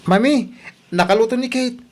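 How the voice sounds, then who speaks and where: A woman's voice: a little high, moderate pace, darkish tone, soft background music, natural voice. A woman with a Filipino accent playing a worried son talking to his mother in an audio drama. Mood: concerned. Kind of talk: Ilocano audio drama acting.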